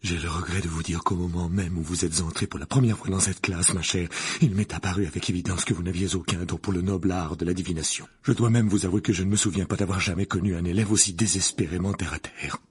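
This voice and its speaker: A man voicing a solemn, theatrical woman who teaches divination, with breathy, drawn-out, portentous delivery in an audiobook. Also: low-pitched, steady tone. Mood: offended.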